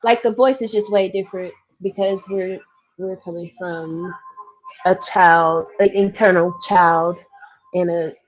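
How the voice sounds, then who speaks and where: A woman's voice: fairly low pitch, steady tone, a little slow. A woman sharing her thoughts casually as co-host of a book discussion, recorded on dull stream audio.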